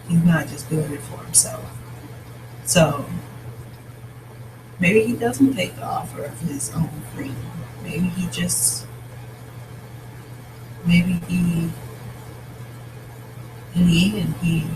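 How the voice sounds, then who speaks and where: A novelist with a raspy, scratchy voice talks through a story outline in a casual vlog, thinking aloud with pauses and restarts. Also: medium pitch. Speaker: novelist outlining their book.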